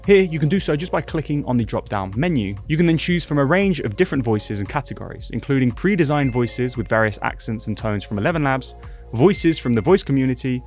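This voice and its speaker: A male tutorial host who talks quickly, at a medium pitch. He explains each step clearly over faint background music. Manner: brisk, clear, instructive. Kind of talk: scripted tutorial narration.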